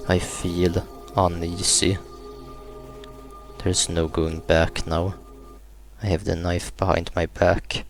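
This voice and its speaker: Low-voiced man reading slowly, flat and subdued. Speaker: gaming YouTuber reading a visual novel aloud. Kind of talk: reading horror game text aloud, narration and dialogue. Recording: music behind the voice. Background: game music.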